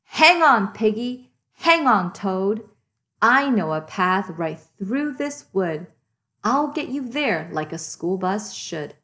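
A woman's voice: medium pitch, big pitch swings, slow, pushed and bright, full character voice. A woman voicing an eager, kind, plucky little boy truck in a children's storybook, with encouraging exclamations.

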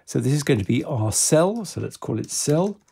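Gravelly, husky man giving a tutorial. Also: mid-range voice, sing-song, normal speed, warm tone. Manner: methodical, informal. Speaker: tutorial presenter. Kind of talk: step-by-step software tutorial narration.

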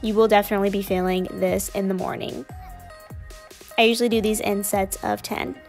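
A woman's voice: mid-range voice, flat delivery, fairly quick, vocal fry, a little mellow, soft background music. A young woman narrating her gym workout in a casual, upbeat voice-over. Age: young adult. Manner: casual, chatty, upbeat explaining. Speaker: fitness vlogger.

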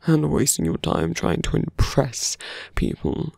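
A man voicing a seductive, sardonic fantasy villain in an intimate, breathy ASMR roleplay, murmuring slowly close to the mic. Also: on the high side, sing-song, darkish tone, no echo, full character voice. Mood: seductive, coaxing. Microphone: close microphone, dry.

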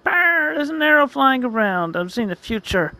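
A man's voice: high-pitched, animated, normal speed, pushed and bright, voice pushed up, exaggerated voice, rough recording. A man voicing an earnest, self-styled hero duelist in a declamatory, melodramatic way, like amateur anime-style storytelling. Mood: alarmed.

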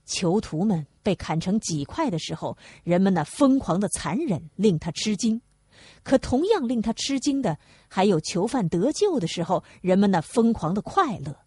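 A woman's voice: medium pitch, sing-song, moderate pace, a little mellow, slightly rough, dry recording, studio quality. A woman reading a novel aloud as scripted audiobook narration.

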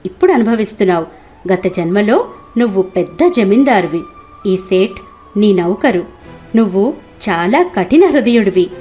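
A woman's voice: mid-range voice, a little mellow, close to an everyday voice. A woman voicing a compassionate, all-knowing holy man who solemnly explains things in a cartoon moral story.

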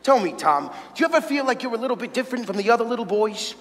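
Exuberant wizard mentor, very high pitch, theatrical, playful, hall reverb, loud music, musical theatre. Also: male voice, lively intonation, rapid-fire, exaggerated voice. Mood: coaxing, playful. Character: flamboyant, exuberant male wizard mentor recruiting an orphan. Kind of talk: musical theatre staged reading.

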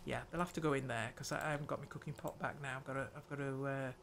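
An older woman hosting a gameplay video, muttering her thoughts aloud in an unhurried way. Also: a bass voice, fairly even intonation, very noisy. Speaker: gaming channel host. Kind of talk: let's play commentary.

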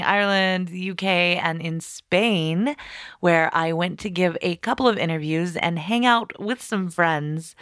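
A woman's voice: lowish voice, fairly bright tone, smooth, no echo. Playful woman podcast host, dry sound. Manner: chatty and playful. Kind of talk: casual podcast intro. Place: home bathroom.

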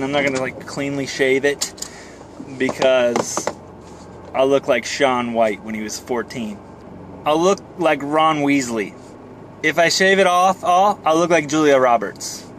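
Joking man vlogging over clear car noise. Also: medium pitch. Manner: joking, self-deprecating. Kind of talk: casual rambling vlog to camera. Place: inside a moving car. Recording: car cabin with road and fan noise. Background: car and air noise.

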